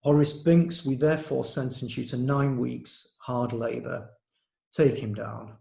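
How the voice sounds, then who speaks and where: A man playing a gravelly, stern magistrate in an echoing room. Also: medium pitch, fairly even intonation, dark tone. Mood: grave, disapproving. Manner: stern, measured, authoritative. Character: stern, formal presiding magistrate. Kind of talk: courtroom stage play acting.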